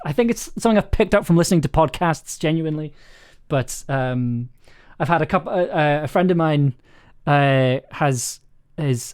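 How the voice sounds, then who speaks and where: A man hosting a casual livestream chat, talking in a halting, self-interrupting way and prone to chuckling mid-sentence. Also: fairly high pitch, a little mellow. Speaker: streaming host and audio drama maker.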